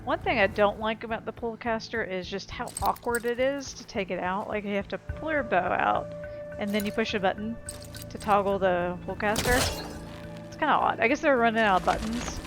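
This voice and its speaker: A woman's voice: medium pitch, normal speed. A woman giving casual gameplay commentary in an offhand, mildly critical way. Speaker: gaming streamer. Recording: game music behind.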